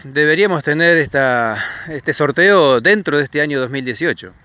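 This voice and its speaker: A man with an Argentine accent, a reporter asking brisk, direct questions on site outdoors. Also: a little high, pushed and bright, muffled recording. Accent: Argentine Spanish. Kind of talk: on-site news interview. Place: outdoors by a street.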